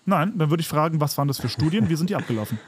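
Husky-voiced man in skeptical casual chat. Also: on the high side, animated, no echo. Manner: skeptical, argumentative, halting restarts. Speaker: podcast co-host.

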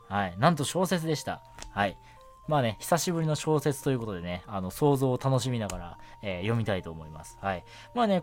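A young man hosting a casual solo radio show, talking in a halting way as he thinks aloud. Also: medium pitch. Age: young adult. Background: background music.

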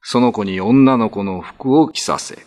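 Deep, ominous male ghost-story narrator. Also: unhurried, darkish tone. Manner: theatrical, ominous. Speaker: narrator of a classical ghost-story radio drama. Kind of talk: dramatic scripted storytelling. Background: music.

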